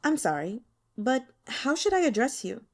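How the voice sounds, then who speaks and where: Gentle scholarly man, played by a female reader, courteous and considerate, lively melodic phrasing, storybook dialogue. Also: high-pitched, moderate pace, voice pushed up. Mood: polite, apologetic. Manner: courteous, softly apologetic small talk. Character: polite, gentle male university teacher, considerate and scholarly.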